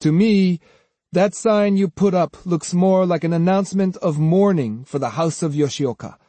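A man's voice: high voice, normal speed, warm tone, dry recording, voice pushed up. A man voicing an arrogant, boastful young swordsman with a pompous, sneering and emphatic delivery in a storybook reading. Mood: snide, warning. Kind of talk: dramatised audiobook storytelling.

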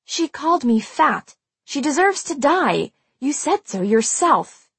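A woman voicing a sharp-tongued, combative teenage girl in an audiobook, with biting sarcasm. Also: on the high side, expressive, unhurried, husky, dry recording. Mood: angry, biting. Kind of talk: audiobook character dialogue.

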